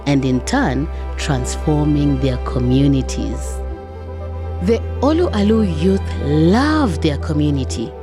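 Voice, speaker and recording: A woman's voice: low voice, lively intonation, slow pace. A woman hosting and narrating a scripted documentary, with music playing behind her. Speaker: host. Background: background music.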